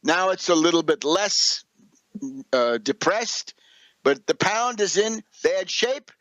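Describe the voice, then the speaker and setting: A man with a fairly high voice delivers off-the-cuff political commentary in a dry, close sound. He is combative and sarcastic, leaning on rhetorical questions. His delivery halts and doubles back as he corrects himself. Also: expressive, slow, a bit bright, dry recording, poor audio.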